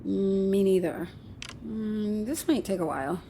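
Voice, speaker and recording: A woman's voice: medium pitch, slow, warm tone, slightly rough. A woman playing a sardonic, amused, slangy young woman in an audio drama, teasing and unapologetic in a natural, casual way. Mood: casual, practical.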